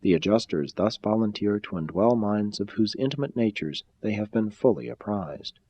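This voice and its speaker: A man giving a formal scripted reading of a spiritual text in a measured, deliberate, solemn way. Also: on the low side, expressive, mellow, no echo. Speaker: audiobook-style reader. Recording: slightly dull, muffled sound.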